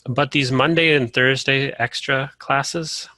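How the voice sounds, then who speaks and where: A man from Colorado leading a casual online class over a video call, relaxed and full of "uh" fillers. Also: medium pitch, takes it slowly, no echo. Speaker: course instructor.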